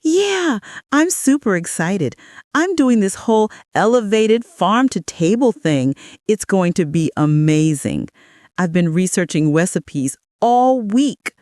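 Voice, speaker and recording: A woman's voice: on the low side, huge pitch swings, a little slow, hardly any pauses, a little mellow, slightly rough, dry recording, clean studio sound, heavily acted. A woman voicing a cheerful, smug younger daughter-in-law, gushing and oblivious, in a dramatic story. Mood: cheerful, excited.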